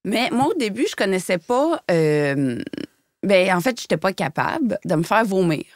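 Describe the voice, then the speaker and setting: A woman's voice: medium pitch, big pitch swings, normal speed, no room sound, studio quality. A woman with a Shawinigan Quebec French accent telling her story in candid casual chat, frank and colloquial, often searching for words. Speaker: podcast guest, former model and designer.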